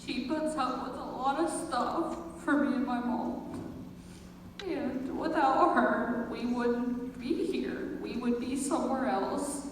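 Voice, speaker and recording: A woman's voice: high-pitched, steady tone, slow pace. A teenage girl, a theater student, delivering an earnest personal monologue to an audience. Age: teens. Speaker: high school theater student. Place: large echoey room at a school performance. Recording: echoey hall from far off, faint noise.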